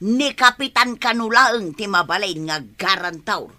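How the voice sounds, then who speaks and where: A woman's voice: medium pitch, animated, a little slow, sharp tone. A woman with an Ilocano accent acting an exasperated, scolding village woman in a comedy drama. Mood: insistent.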